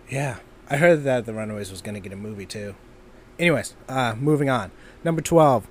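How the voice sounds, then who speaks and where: A man's voice: mid-range voice, slight background noise. A young man from Minnesota, an amateur voice actor, chatting casually in a vlog with lots of ums and loose, self-deprecating asides. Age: young adult. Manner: rambling, filler-heavy, self-deprecating.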